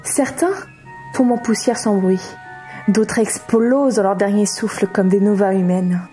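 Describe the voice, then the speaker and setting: An actress playing an eager office employee who theatrically hosts horror stories in an audio comedy. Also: female voice, medium pitch, steady tone, unhurried, mellow, faint music behind, lightly acted. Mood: ominous, theatrical. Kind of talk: amateur audio comedy drama.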